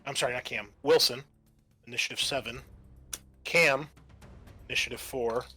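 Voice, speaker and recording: A man's voice: medium pitch, moderate pace, pushed and bright, slightly rough, faint music behind. A man acting as game master, narrating a horror tabletop roleplaying game.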